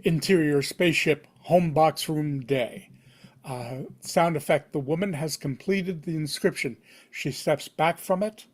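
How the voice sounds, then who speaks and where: A man narrates a screenplay for a table read. His voice sits a bit higher than average for a man. He delivers scene headings and action in short, punchy, dramatic phrases. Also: unhurried. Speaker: stage-direction reader at table read. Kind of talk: reading screenplay directions aloud.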